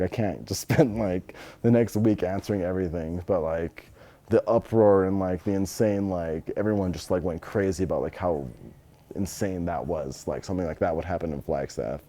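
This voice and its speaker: A young American man with a deep, soft-edged voice talks casually in an interview. His speech is blunt and full of slang, and he swears freely. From Los Angeles, United States. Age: 20s. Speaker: interviewee, business owner.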